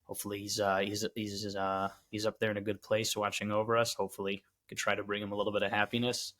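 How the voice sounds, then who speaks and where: A man's voice: on the low side, little pitch movement, fast talker, fairly bright tone. A young man co-hosting a podcast, speaking reflectively and hesitantly in casual chat. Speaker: podcast co-host.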